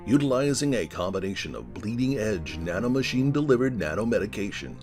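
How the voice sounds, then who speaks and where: Male actor as sci-fi feature narrator, scripted delivery, lively intonation, measured phrasing, music behind. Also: lowish voice. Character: polished male narrator of futuristic documentaries and features. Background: music with guitar.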